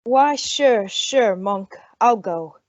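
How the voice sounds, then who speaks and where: A woman's voice: fairly high pitch, lively intonation, very slow. A woman playing a timid, pleading outlaw gang member in an old-time radio western. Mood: frightened, pleading. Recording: very noisy, dull lo-fi audio.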